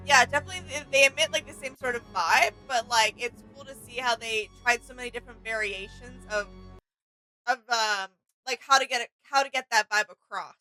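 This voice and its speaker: A woman's voice: on the high side, fairly quick, bright, forceful tone, soft background music, poor audio. A woman co-hosting a reaction video, chatting casually and making short, wondering remarks.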